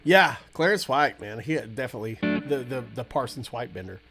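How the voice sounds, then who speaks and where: A man's voice: mid-range voice, normal speed, creaky. Stuffy-nosed man chatting over guitar music. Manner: rambling, relaxed, lots of fillers and restarts. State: stuffy nose. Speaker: livestream host answering chat. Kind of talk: casual livestream chat.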